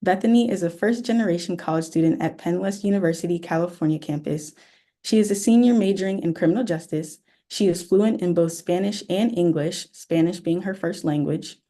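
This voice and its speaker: A woman moderates a university panel, reading her script in a measured, even way. Her voice is mellow and a little low. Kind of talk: reading introductions and questions. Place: university event room.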